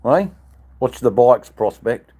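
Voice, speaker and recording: An older man with an Australian accent and a husky voice, chatting casually to livestream viewers in a rambling, drawn-out, joking way. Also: mid-range voice, expressive, dark tone. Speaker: livestream channel host. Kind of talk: casual live chat with viewers.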